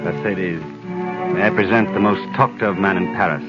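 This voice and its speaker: An actor playing a courteous, solicitous aristocratic husband, speaking formally in 1930s radio drama style. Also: male voice, low-pitched, moderate pace, natural voice. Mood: courtly. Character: genteel aristocratic husband, socially proud, solicitous. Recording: muffled 1930s radio, loud music behind.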